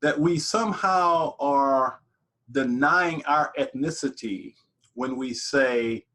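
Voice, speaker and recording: A man's voice: medium pitch, takes it slowly, sharp tone. A man hosting an interview, thoughtful and probing in his questions. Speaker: host. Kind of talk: interview conversation.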